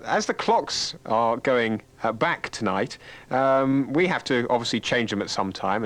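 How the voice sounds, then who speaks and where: Amused man chatting on live radio. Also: mid-range voice. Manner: amused, chatty, explaining with laughter. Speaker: radio presenter. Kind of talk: live on-air chat.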